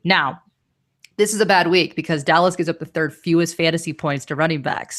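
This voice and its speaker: A woman's voice: on the low side, a bit bright. A woman hosting a fantasy football podcast in casual chat, giving confident, firm advice.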